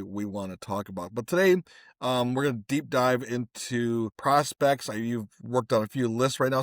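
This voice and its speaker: A man's voice: mid-range voice, no room sound, studio quality. A middle-aged man hosting a family podcast, talking casually and rambling a bit with fillers as he introduces a guest. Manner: rambling, friendly, many fillers and restarts. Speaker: podcast host.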